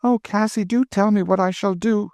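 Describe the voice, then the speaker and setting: A man's voice: high-pitched, fairly even intonation, moderate pace, a little mellow, no echo, voice pushed up. A man voicing a frightened young girl who pleads breathlessly. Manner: breathless, imploring.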